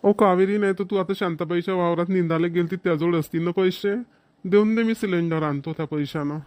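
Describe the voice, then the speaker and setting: Man as high-pitched broke village husband. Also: fairly quick, a little mellow, no echo, natural voice. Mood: coaxing. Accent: Marathi, Vidarbha. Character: broke village husband and father, scolding yet coaxing. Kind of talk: cartoon comedy dialogue.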